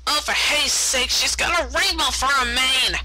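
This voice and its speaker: Woman voicing a bright, folksy country pony mare. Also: high-pitched, unhurried, voice pushed up, full character voice. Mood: exasperated. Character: hard-working, exasperated country farm pony mare.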